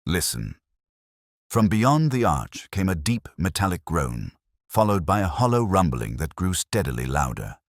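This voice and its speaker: A man reading a dramatic mystery story in a solemn, measured, literary storytelling manner. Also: low voice, sing-song, dry recording, studio quality. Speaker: audiobook narrator. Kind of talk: scripted dramatic mystery narration.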